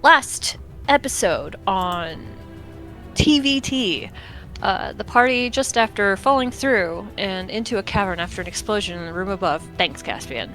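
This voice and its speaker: A woman with a stuffy, congested voice from a recent flu, reading a session recap as one of the players. She hesitates and apologizes often, and soft music plays behind her. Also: mid-range voice, expressive, unhurried. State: stuffy, getting over the flu. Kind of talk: casual chat and recap narration.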